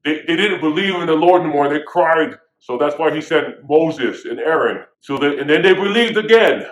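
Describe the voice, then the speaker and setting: A man's voice: on the high side, expressive, normal speed, natural voice. A man playing a stern prophet who scolds his people, preaching in a casual, modern way full of slang. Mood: scolding. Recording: echoey room.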